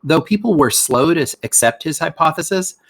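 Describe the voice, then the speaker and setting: Man reading aloud with lively, swooping intonation. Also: fairly high pitch, brisk, slightly rough. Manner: explanatory, poses rhetorical questions, stresses key words. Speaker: teacher reading a lesson aloud. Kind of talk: educational read-aloud of scripted narration for children.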